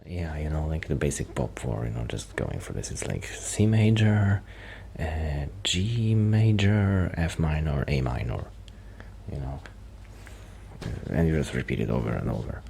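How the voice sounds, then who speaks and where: A man doing a soft-spoken, half-whispered ASMR roleplay as a shy, quiet musician friend, rambling hesitantly and interrupting himself. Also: extremely low pitch, lively intonation, unhurried, creaky, a little hiss or hum, full character voice. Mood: modest, resigned.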